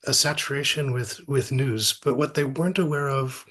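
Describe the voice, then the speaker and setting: Middle-aged man, thoughtful and halting. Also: mid-range voice, fairly even intonation, fairly bright tone. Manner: thoughtful, halting, often repeats words. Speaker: academic researcher, interview guest. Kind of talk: reflective interview answers with anecdotes.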